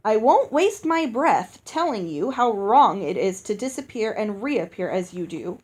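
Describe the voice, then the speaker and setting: A woman voicing a stern, disapproving gentleman fairy in a storybook, with a clipped, reproachful manner. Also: medium pitch, very animated, a little slow, slightly rough. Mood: exasperated. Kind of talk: acted children's storybook reading.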